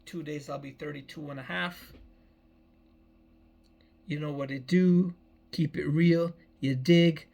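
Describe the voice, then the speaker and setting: A man's voice: on the high side, fairly even intonation, takes it slowly, creaky, pauses often, darkish tone, highs cut off. A man in his early thirties giving a meandering personal life update, often repeating himself and restarting phrases. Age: 30s. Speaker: vlogger.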